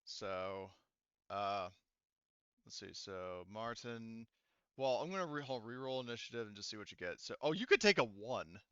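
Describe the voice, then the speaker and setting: A man improvising casual tabletop game narration as game master, in a halting way. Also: lowish voice, big pitch swings, a bit bright, smooth, no echo, lo-fi sound.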